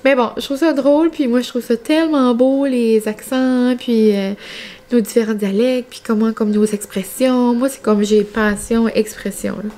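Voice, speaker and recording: A woman's voice: fairly high pitch, steady tone, normal speed, mellow. A young adult woman from New Brunswick with a northern Acadian French accent, chatting casually to her viewers in a rambling, colloquial way. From New Brunswick, Canada. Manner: rambling, colloquial regional speech with filler words. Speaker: vlogger.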